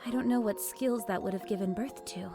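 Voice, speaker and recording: A woman's voice: medium pitch, brisk, creaky, music in the background, close to an everyday voice. An actress playing a spirited, determined female squad leader in an anime game, earnest and slightly formal. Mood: wistful. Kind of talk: scripted anime game voice acting.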